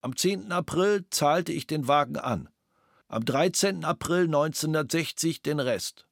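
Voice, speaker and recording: A man's voice: on the high side, expressive, moderate pace, gravelly, no room sound, clean studio sound. An actor playing an unrepentant male suspect who gives his statement in a terse, matter-of-fact way in a documentary radio play.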